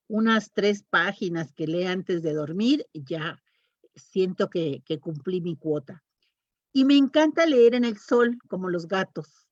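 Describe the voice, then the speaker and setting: Casting: woman, unhurried, casual conversational delivery, muffled audio. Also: mid-range voice, darkish tone, no echo. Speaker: panelist talking about reading habits. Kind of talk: casual conversational talk at a round table.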